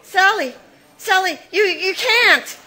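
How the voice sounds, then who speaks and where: Actress as a jealous young woman in a 1940s radio mystery, halting and pleading, bright and tense. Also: very high voice, lively intonation, soft background music, exaggerated voice. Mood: anxious, faltering. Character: bitter, desperate young woman, jealous and failing.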